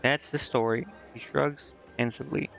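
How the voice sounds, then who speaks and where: A man's voice: medium pitch, fairly even intonation, normal speed, gravelly, a bit bright. A man doing casual live game commentary, informally thinking aloud and reading dialogue out as he goes. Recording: dull, band-limited sound with music. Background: game music.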